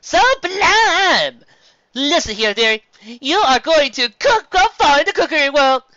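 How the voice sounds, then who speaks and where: A gushing high-society mare who raves about everything, as a reader puts her on. Her voice sits high and bright and swings wildly from note to note. The recording is dull and lo-fi. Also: sing-song, a little slow, no room sound, heavily acted. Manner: raving, high and theatrical.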